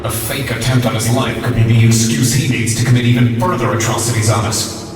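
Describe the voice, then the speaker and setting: A distant, heavily echoing voice of a bitter, righteous rebel acted by a man in a sci-fi audio drama. He is mid-pitched, solemn and impassioned, as if in a large hall. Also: steady tone, a little fast, lightly acted. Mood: grave. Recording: echoey hall, distant, faint music.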